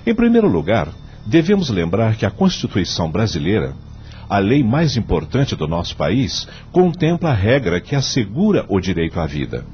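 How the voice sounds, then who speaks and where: An actor playing a principled, devout judge in a Brazilian radio drama, speaking formally and oratorically with rhetorical questions. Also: male voice, on the low side, huge pitch swings, normal speed, slight background noise. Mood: solemn, impassioned. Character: eloquent male judge and mentor, devout, firmly principled. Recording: dull lo-fi audio, faint music.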